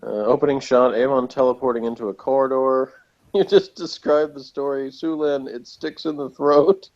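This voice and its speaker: A man's voice: mid-range voice, steady tone, normal speed, a little mellow, highs cut off. A man chatting casually and wryly as a podcast co-host, reading out notes and commenting on them.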